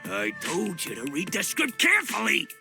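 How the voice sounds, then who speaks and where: A flustered bandit extra from a game, a humble working guy. His voice is gravelly and wildly animated, a little high, with music behind. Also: normal speed, exaggerated voice. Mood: exasperated. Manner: blustering, apologetic, hesitant. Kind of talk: video game voice acting.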